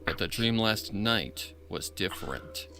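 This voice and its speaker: A man reading a story aloud in an expressive, dramatic scripted narration style. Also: on the low side, unhurried, soft background music. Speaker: fan fiction reader.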